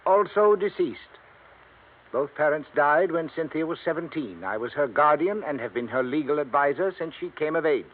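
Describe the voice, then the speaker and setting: An actor playing a formal, guarded older lawyer in a 1950s radio drama, clipped and precise. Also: male voice, on the high side, animated, moderate pace. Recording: tinny lo-fi 1950s radio broadcast.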